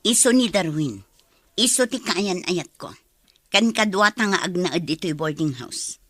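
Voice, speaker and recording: A woman's voice: lowish voice, expressive, unhurried, a bit bright, slightly rough, no echo, heavily acted. Stern, defiant mother, dry studio. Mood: stern, curt. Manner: curt, scolding. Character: defiant, vindictive middle-aged mother, curt and stern. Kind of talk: radio drama acting.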